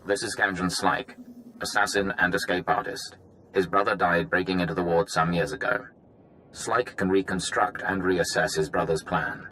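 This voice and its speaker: A businesslike infiltration operative in a video game. His voice is deep and forceful, laying out a brisk, matter-of-fact briefing over faint noise. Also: fairly even intonation, rough recording.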